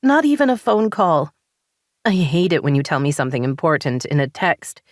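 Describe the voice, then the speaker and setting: A woman's voice: low-pitched, lively intonation, moderate pace, no room sound, clean studio sound. A woman voicing a hurt, frustrated married woman who presses her points insistently. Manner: heated, insistent.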